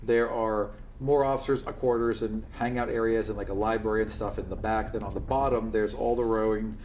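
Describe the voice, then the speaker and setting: A man's voice: on the low side, fairly even intonation, brisk, darkish tone. Guy game mastering a tabletop rpg, making up narration, echoey room, bit of noise. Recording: roomy sound with faint background noise.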